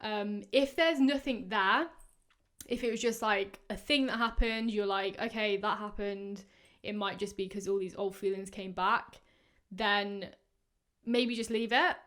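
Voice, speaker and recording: A woman in her twenties hosting a podcast, giving casual, rambling advice full of fillers, her voice going hoarse. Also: mid-range voice, fairly even intonation, a little slow, fairly bright tone. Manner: chatty, rambling, many fillers. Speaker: podcast host. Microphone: podcast microphone.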